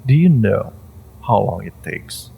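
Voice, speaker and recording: Man acting a slow, deep, sinister inhuman interrogator. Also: sing-song, creaky, warm tone, breathy edge, heavily acted. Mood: mocking, probing. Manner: theatrical, taunting, drawn-out phrasing with pauses and whispers. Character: sinister inhuman male interrogator, mind-reading monster, mocking and menacing. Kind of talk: dramatic audio roleplay monologue to the listener.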